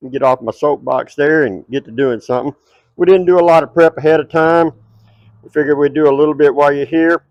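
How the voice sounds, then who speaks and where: A man's voice: fairly high pitch, a little mellow, no room sound, poor audio. A middle-aged man with a Texas twang, hosting a cooking show at an outdoor backyard table and chatting straight to his viewers.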